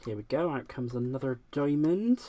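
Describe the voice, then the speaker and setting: A man's voice: medium pitch, lively intonation, moderate pace, mellow. A man with a West Country accent chats casually and laughs often while he works on a craft project during a livestream. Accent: West Country English. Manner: chatty, self-deprecating, laughs often. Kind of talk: casual live-stream chat while crafting.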